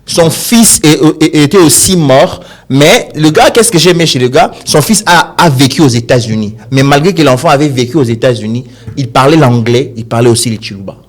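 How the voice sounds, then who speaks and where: A man's voice: mid-range voice, brisk. A man from DR Congo with a Congolese accent, a guest in a casual studio chat, rambling and telling earnest stories.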